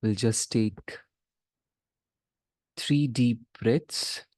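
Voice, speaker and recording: A man leading a guided meditation as a teacher, giving measured instructions in short phrases with pauses between them. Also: mid-range voice, a little mellow, dry recording, clean studio sound.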